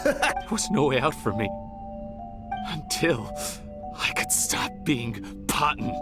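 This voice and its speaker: A man's voice: fairly high pitch, big pitch swings, takes it slowly, loud music behind the voice. An actor playing a bitter, disfigured young man turned criminal in a game, speaking in a sardonic, mocking way. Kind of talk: game voice acting.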